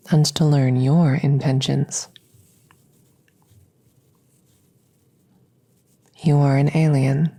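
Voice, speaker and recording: Alien researcher, played by a woman: female, low and dark-toned, hushed, clinical yet curious, slow deliberate phrasing for close-up sci-fi ASMR. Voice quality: soft, often whispered close to the mic. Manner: slow, measured, formal, inquisitive. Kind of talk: ASMR roleplay. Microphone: close microphone.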